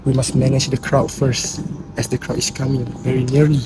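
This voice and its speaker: A man's voice: medium pitch, flat delivery, brisk, close to an everyday voice. A man in an amateur play acting a sorrowful, fearful grandfather of eighty-three, speaking in solemn monologue. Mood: troubled, hesitant. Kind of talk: amateur student stage play performance. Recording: very echoey room, distant mic, faint noise.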